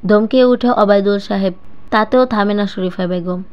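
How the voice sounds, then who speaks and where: A woman's voice: mid-range voice, moderate pace, clean tone. A woman reading a scripted dramatic story as a narrator. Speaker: storyteller, audiobook-style narrator. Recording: dull lo-fi voice-over with music. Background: background music.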